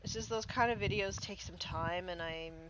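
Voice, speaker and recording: A woman's voice: medium pitch, moderate pace, noisy background. A woman streaming herself painting miniatures, chatting casually with lots of fillers and a congested voice. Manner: rambling, many fillers like "like" and "um". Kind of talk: casual chat while painting. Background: music.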